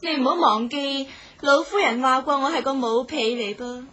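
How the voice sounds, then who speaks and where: An actress playing a plucky, teasing young martial maiden in a radio drama, coy and confident in manner. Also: female voice, high voice, moderate pace, bright, forceful tone. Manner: coy, playful, confident, sentence-final lilting particles. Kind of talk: Cantonese radio drama acting.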